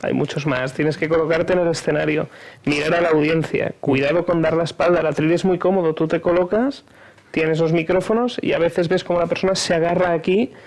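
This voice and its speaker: A man's voice: a little high, steady tone, brisk, a little mellow. Confident man on a panel. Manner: confident, explanatory, lists points in rhythm. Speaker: oratory speaker on a panel. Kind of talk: panel discussion. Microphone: video call.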